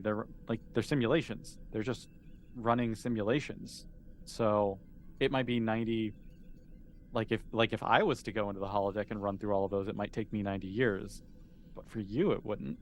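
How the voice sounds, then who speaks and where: A man voicing an obliging, puzzled starship chief engineer in a tabletop game, explaining things haltingly. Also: on the low side, a little hiss or hum, close to an everyday voice.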